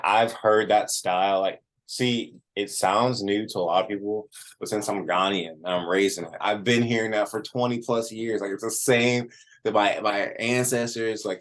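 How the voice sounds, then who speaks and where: Very deep-voiced man chatting casually. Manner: informal, frequent "like" as filler. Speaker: musician, interview guest. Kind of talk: casual interview chat.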